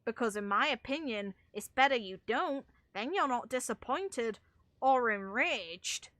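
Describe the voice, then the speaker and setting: Young adult female gaming creator, forceful and blunt, off-the-cuff commentary, dry close recording. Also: fairly high pitch, lively intonation, measured pace, dry recording. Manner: blunt, swearing freely. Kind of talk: casual opinionated game commentary.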